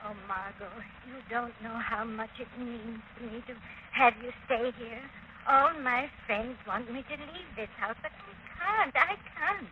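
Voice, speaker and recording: A woman's voice: on the high side, a little slow, dull audio, background noise, natural voice. An actress playing an exhausted, distraught lady of a grand house, faltering and melodramatic, in 1930s radio style on an old broadcast. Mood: anguished, weary. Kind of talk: 1930s radio mystery acting.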